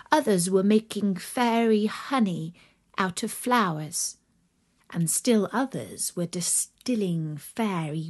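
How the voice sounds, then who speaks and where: Animated woman storyteller, unhurried and husky. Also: lowish voice. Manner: expressive, deliberate storytelling. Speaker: storyteller, regular narrator. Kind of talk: scripted children's fairy-tale narration.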